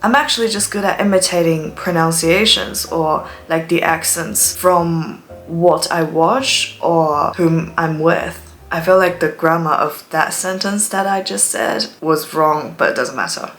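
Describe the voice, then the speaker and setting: A woman's voice: fairly low pitch, unhurried, hardly any pauses, a bit bright, faint music behind. A Chinese woman in her twenties, a student, telling stories in a chatty, dramatic way, speaking English with an accent between British and American. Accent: English learned from TV, between British and American. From China, Shanghai. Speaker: student vlogger. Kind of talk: casual monologue vlog.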